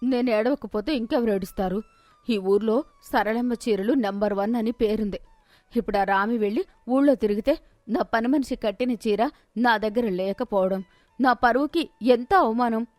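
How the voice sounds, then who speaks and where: A proud and jealous rich housewife from a moral storybook, as a woman storyteller plays her. Her high voice scolds, complains and insists stubbornly on having her way. The sound is dry. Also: normal speed, a little mellow, no echo, natural voice. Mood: indignant, demanding.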